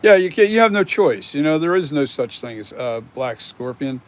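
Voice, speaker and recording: A man's voice: fairly high pitch, big pitch swings, moderate pace. A man in his sixties chatting casually on a stream, rambling and candid, with the odd swear word. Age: 60s. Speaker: mask and prop maker. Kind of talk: casual live-stream chat.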